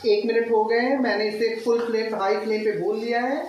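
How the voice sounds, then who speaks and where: A man's voice: high voice, steady tone, brisk. Echoey, distant man giving cooking instructions. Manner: instructional, step by step, mixes English words into speech. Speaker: home cook, recipe host. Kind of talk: informal cooking tutorial narration. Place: home kitchen, indoors. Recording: echoey, distant mic, faint kitchen noise.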